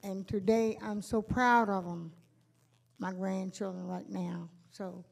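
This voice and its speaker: An older woman speaks in a flat, level tone with little rise and fall. She answers simply and a bit hesitantly, not a practiced speaker. She is heard in a school hall. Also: mid-range voice, slow pace, gravelly. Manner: plain, unpolished, a bit hesitant. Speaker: speaker's grandmother.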